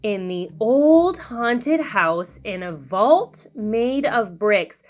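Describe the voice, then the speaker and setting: Female children's storyteller. Lively, swooping pitch, deliberate sing-song read, background music. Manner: animated, slow and deliberate sing-song delivery. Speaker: children's read-aloud host.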